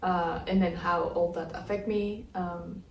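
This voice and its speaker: A woman's voice: lowish voice, steady tone, a little slow, lo-fi sound, a little hiss or hum. A Colombian woman in her twenties, co-hosting a casual podcast chat, laughing often and filling her talk with "like". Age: 20s. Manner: giggly, lots of "like" fillers. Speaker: podcast co-host. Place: indoor room in the Netherlands. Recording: echoey room, mic at a distance.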